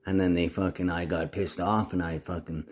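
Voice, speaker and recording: Deep-voiced man, rambling and agitated. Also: fairly even intonation, normal speed. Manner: rambling, agitated, heavy swearing. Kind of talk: casual monologue to camera.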